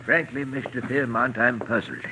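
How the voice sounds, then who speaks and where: An actor playing a grave, measured, professional doctor in a 1940s radio drama. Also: male voice, medium pitch, pushed and bright, a little hiss or hum. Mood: puzzled, grave. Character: grave, puzzled male family doctor. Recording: muffled vintage radio recording.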